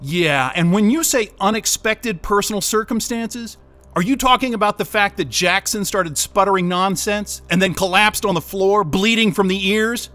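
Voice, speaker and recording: An agitated captive techie in an audio drama, high-pitched for a man and sharply tense. He is sarcastic and defiant, with anger and fear pushing at his words. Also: normal speed, sharp tone. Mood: angry, accusing. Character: angry, sarcastic, panicked male software developer held captive.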